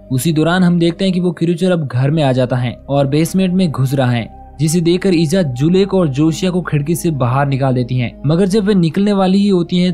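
A man tells a film's story as a voice-over recap in a steady, unhurried way. His voice sits a little high but sounds mellow and soft-edged. Faint music plays underneath him. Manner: steady storytelling, even pace. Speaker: film recap narrator. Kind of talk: scripted narration of a film plot.

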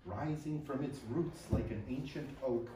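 A middle-aged American man stands in a library hall and reads poems in a measured way after a halting, filler-laden introduction. The mic sits some way off, so his voice carries a roomy echo. A faint room hum lies underneath. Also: medium pitch. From upstate New York, USA. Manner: hesitant, filler-heavy remarks, then measured reading. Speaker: poet and translator. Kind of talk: poetry reading. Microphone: room mic from a distance. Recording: echoey room, distant mic.

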